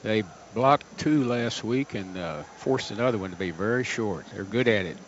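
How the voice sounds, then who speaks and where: An American man giving conversational, unhurried color analysis on a live football radio broadcast. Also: fairly low pitch. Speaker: color commentator. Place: stadium radio booth. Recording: dull, noisy stadium radio broadcast.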